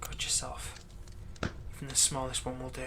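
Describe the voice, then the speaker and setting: A man playing a vengeful slave who is turning himself into a vampire, in a close roleplay monologue, taunting and theatrical, dropping into breathy whispers. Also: mid-range voice, monotone, moderate pace, heavy background noise, lightly acted. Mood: bossy, threatening. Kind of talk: roleplay audio acting, close ASMR monologue.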